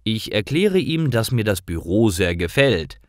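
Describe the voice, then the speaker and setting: A man reading scripted stories for language learners as narrator, in simple, clearly phrased storytelling. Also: on the low side, big pitch swings, unhurried, no room sound, clean studio sound.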